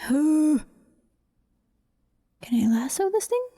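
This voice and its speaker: A woman's voice: high voice, big pitch swings, extremely slow, warm tone. A woman talking casually through an audio editing walkthrough as a voice actor, murmuring to herself in short fragments. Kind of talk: casual screen-recorded editing walkthrough, thinking aloud.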